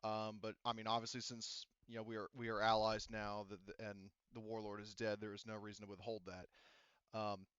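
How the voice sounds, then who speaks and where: A man's voice: fairly low pitch, a bit bright, clean tone, no echo, highs cut off. A man acting as game master, explaining rulings and story in a rambling way with lots of fillers. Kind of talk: narrating a tabletop game.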